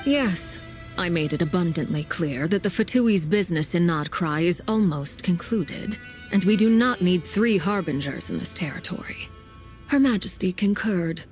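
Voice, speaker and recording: A woman playing a guarded, authoritative commander in a video game, speaking formally in a measured, serious way. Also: on the low side, animated, a little mellow, soft background music, natural voice. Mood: formal, firm. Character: composed, guarded, authoritative female military commander.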